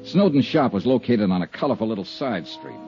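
Low-voiced hard-boiled American radio detective. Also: male voice, big pitch swings, fairly quick. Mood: brisk narrating. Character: brisk, hard-boiled male insurance investigator, dryly skeptical. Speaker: lead actor in a radio detective serial. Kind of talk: 1950s radio drama acting and first-person narration. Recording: dull 1950s radio recording with music.

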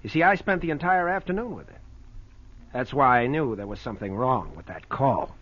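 A man's voice: medium pitch, animated, brisk, a little hiss or hum, lightly acted. An actor playing a composed man exposing a murderess in a 1940s radio thriller, speaking pointedly. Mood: accusing. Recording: dull old 1940s radio recording.

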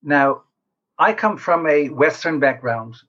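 A man answering interview questions as a researcher, explaining in a measured way with pauses mid-sentence. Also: fairly high pitch, lively intonation, a bit bright. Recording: slightly dull lo-fi call audio.

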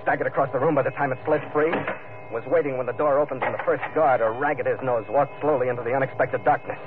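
A man's voice: mid-range voice, steady tone, fast, natural voice. An actor playing a tough, hardboiled ship captain narrating his adventures in terse old-time radio drama style. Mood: grim, measured. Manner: terse, gritty, clipped sentences. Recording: tinny vintage radio broadcast, music underneath.

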